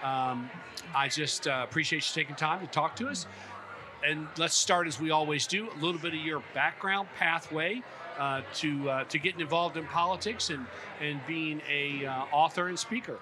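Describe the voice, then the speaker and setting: Male interview host, slightly high register, folksy and effusive, halting delivery, noisy location sound. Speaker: podcast host. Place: busy conference venue at a hotel. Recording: clear background noise on location.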